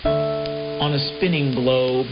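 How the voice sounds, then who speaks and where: A man's voice: mid-range voice, steady tone, takes it slowly. A man with an American accent hosting a rambling late-night shortwave show in a wry, laid-back way, repeating phrases and tossing out rhetorical questions. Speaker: shortwave radio show host. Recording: dull lo-fi sound under prominent keyboard music. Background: piano-like keyboard music.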